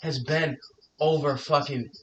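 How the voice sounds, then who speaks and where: A man's voice: on the high side, steady tone, measured pace, lo-fi sound, over a noisy crowd. A man co-hosting a podcast, rambling through casual opinions, swearing freely and asking his guests questions. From United States. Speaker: podcast co-host. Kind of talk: casual chat.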